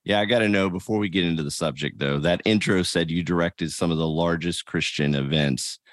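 Low deep guy hosting a podcast, dry close sound, casual and upbeat. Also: fairly quick, no echo. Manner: casual and upbeat, reads ad copy. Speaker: podcast host. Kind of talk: podcast interview.

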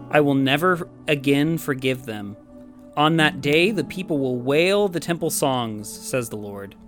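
A man reading scripture aloud in a solemn style. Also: mid-range voice. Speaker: scripture reader. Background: soft acoustic guitar music.

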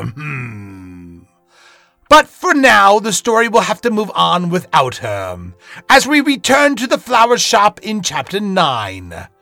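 Man doing a grand showy lord, slow and swoopy, bright and a bit gravelly. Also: high voice, dry recording, voice pushed up, exaggerated voice. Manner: pompous, drawn-out, theatrical. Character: theatrical grand lord who presides over the tale.

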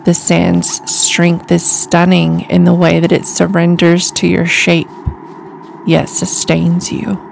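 A woman calmly reading a scripted guided imagery meditation in a soothing, deliberate way. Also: fairly low pitch, gravelly, a little hiss or hum, poor audio. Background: music.

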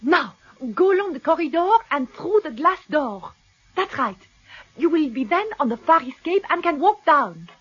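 A woman's voice: sits high, big pitch swings, moderate pace, slight background noise. A woman playing a coquettish, anxious French mademoiselle in a radio drama, with a French accent. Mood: anxious pleading. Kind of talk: BBC radio drama acting. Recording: dull archive radio recording.

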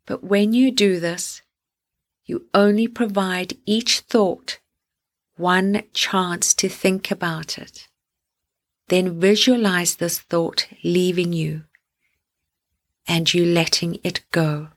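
Slow, lowish-voiced woman guiding sleep meditation. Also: fairly even intonation. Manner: gentle, soothing, deliberate phrasing with long pauses. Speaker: meditation guide and host.

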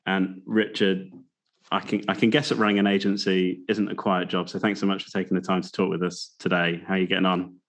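Low-voiced male interview host. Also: little pitch movement, a little fast. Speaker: podcast host interviewing a guest. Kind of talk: scripted introduction and conversational interviewing.

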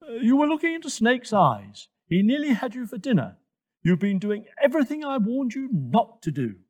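Man playing a high-voiced, firm giraffe. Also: big pitch swings, moderate pace, full character voice. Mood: scolding. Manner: firm, scolding yet kindly. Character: bossy but caring giraffe friend. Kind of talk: children's story character voice.

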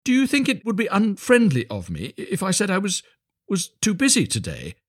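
A man's voice: high voice, sing-song, moderate pace, no room sound, studio quality, voice pushed up. A man voicing a shy, timid, humble little man in a storybook, apologetic and hesitant, eager to please. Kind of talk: storybook character voice.

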